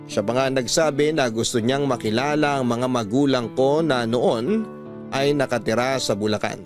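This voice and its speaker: A male radio storyteller with a medium-pitched voice, reading a personal love letter in dramatic narration. The sound is very dry, with soft music beneath. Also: dry recording.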